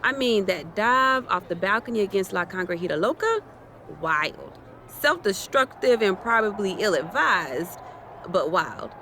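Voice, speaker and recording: A swaggering champion wrestler in a game cutscene. Her voice swings freely in pitch, brash and playful, over faint background noise. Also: lively intonation, unhurried, sharp tone. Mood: cocky, playful. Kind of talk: naturalistic video game cutscene acting.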